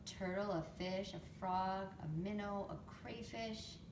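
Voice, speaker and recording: A woman reading a picture book to young children expressively, pausing to explain things and ask them questions. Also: mid-range voice. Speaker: storytime reader, teacher to young children. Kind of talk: picture book read-aloud with chatty asides. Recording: roomy, distant mic, faint background noise.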